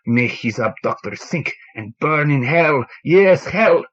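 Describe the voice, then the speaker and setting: A man voicing a grieving, excitable Cuban male bartender who exclaims in a high, breaking voice. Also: takes it slowly, heavily acted. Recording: dull lo-fi audio.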